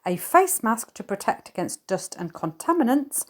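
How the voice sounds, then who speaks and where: A woman acts out a village policewoman in a comic audio play. She is earnest and officious, with formal bureaucratic phrasing. The voice sits a little high and swings in pitch. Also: big pitch swings, normal speed. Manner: formal bureaucratic report-speak. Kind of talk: amateur audio play comedy acting.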